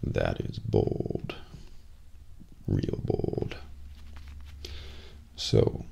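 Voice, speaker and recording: A man playing a cold, suspicious professional assassin in a soft-spoken ASMR role play, speaking slowly and deliberately in a breathy, gravelly voice close to a binaural microphone. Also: muffled recording. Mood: quietly menacing. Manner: slow, deliberate, measured interrogation.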